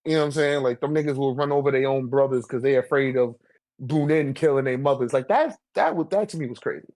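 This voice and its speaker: A man's voice: medium pitch, quick pace, a little mellow, dry recording. A man in a casual, argumentative debate, talking animatedly with heavy slang and swearing. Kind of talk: casual slangy debate about manga rankings.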